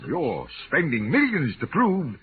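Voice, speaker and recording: Fretful, high-voiced professor, vintage radio. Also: male voice, wildly swooping pitch, a little slow. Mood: indignant. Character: fretful, indignant, idealistic male professor with psychic powers. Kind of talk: 1950s radio drama acting. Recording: muffled vintage 1950s radio recording.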